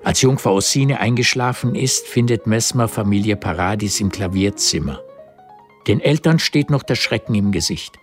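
A male narrator with a low voice reads a radio drama's literary narration. Music sits behind his scripted delivery. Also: normal speed.

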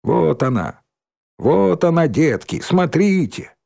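A man voicing a rowdy, taunting schoolboy in an audiobook, shouting and jeering. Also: fairly high pitch, very animated, unhurried, slightly rough, voice pushed up, heavily acted. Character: rowdy red-haired schoolboy taunting the crowd's victim.

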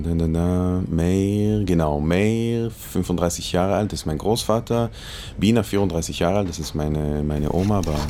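A middle-aged man from Israel speaking in a halting, conversational way, as in a documentary dialogue. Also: deep voice, mellow, a little hiss or hum. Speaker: radio producer searching for relatives.

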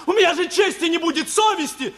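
A man's voice: very high voice, expressive, quick pace, a bit bright, shouting, a faint crowd. An actor in a radio play playing a proud, idealistic young man just out of school, earnest and formally insistent. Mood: impassioned. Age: young adult.

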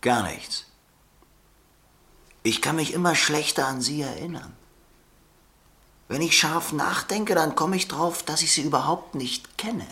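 A tense, fearful swindler. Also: male voice, on the high side, measured pace, long pauses, bright, forceful tone, slightly rough, heavily acted. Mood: defensive. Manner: curt and defensive in dialogue, brooding in narration. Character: hunted male American swindler, tense and fearful. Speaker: first-person narrator. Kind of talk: radio play acting and narration.

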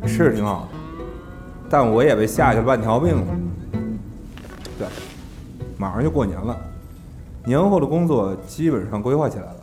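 Lively, bantering male talent manager played by an actor. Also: medium pitch, moderate pace, long pauses, natural voice. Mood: exasperated. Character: brisk, teasing male talent manager of a film star. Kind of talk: Mandarin audio drama acting. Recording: music behind the voice.

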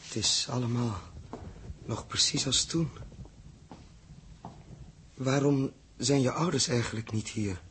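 An actor playing a troubled, uneasy man who mutters haltingly and repeats himself, in a 1960s radio drama. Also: mid-range voice, fairly bright tone, a little hiss or hum. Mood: subdued. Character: troubled, hesitant grown man Joost, uneasy space traveller. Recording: muffled-voiced old 1960s radio recording.

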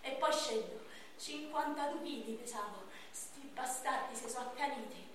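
A woman performing a theatrical monologue as a battered, frail young victim, with a Roman accent and halting, repetitive, coarse speech. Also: sits high, natural voice. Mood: bitter, brooding. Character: battered frail 31-year-old Roman victim, raging and wounded. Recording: echoey stage, distant mic, background noise.

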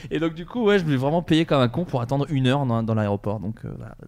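Fast-talking male podcast host, informal and animated. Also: medium pitch. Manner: animated, informal, acts out dialogue. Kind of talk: casual comedic anecdote telling.

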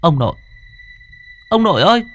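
Dude doing a scared little kid, high and whiny, pleading. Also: male voice, brisk, a little hiss or hum, lightly acted. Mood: crying out. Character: frightened naive young boy. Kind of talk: audio horror storytelling.

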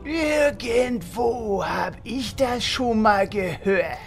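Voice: medium pitch, lively intonation, extremely slow, vocal fry, soft background music, full character voice. A performer playing a blunt, hot-tempered uncle in a gaming guild, in a comedy audio drama. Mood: exasperated.

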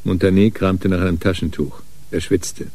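A man narrating a radio crime drama in a measured, matter-of-fact way. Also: low-pitched, fairly even intonation, mellow, husky. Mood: sober. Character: sober crime story narrator.

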